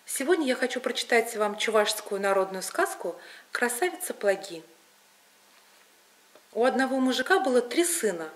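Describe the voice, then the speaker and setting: A woman storyteller reading a scripted folk tale in an expressive, deliberate way. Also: on the high side.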